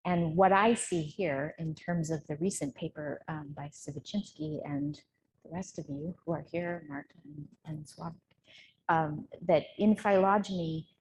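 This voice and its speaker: Low-pitched woman giving an academic talk. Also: animated, unhurried, creaky, a little mellow, a little hiss or hum, poor audio. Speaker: conference speaker, researcher. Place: indoor conference room.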